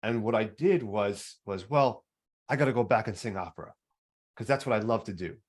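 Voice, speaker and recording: A man with a baritone voice, hosting a podcast and telling his own story earnestly, stumbling over repeated words. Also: moderate pace. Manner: earnest, repetitive restarts. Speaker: podcast host. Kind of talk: personal storytelling in interview.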